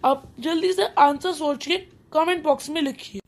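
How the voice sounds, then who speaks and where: A woman's voice: high-pitched, moderate pace. A woman voicing an interviewer who poses riddles in a children's cartoon quiz. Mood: quizzing, composed. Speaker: quiz host.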